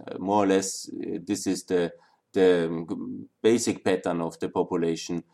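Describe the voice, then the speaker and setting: A man from Austria with an Austrian German accent, lecturing an audience in a halting way with many fillers and restarts. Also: sits low, darkish tone. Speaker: speaker on Balkan history.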